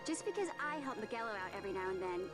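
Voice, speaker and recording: A woman's voice: medium pitch, expressive, racing, over loud music, natural voice. An actress playing an earnest, dutiful young girl who scolds her friend in a video game. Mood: chiding.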